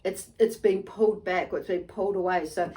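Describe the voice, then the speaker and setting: A woman giving an unscripted tarot reading to viewers, halting and meandering, often repeating and rephrasing herself as she goes. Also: fairly low pitch, steady tone, dark tone. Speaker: tarot reader and channel host.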